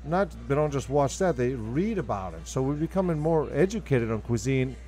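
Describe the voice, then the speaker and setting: Male interview guest, Argentine accent, native Spanish speaker, mid-pitched, emphatic and enthusiastic conversational delivery. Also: expressive, a little fast, warm tone. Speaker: guest, restaurant owner.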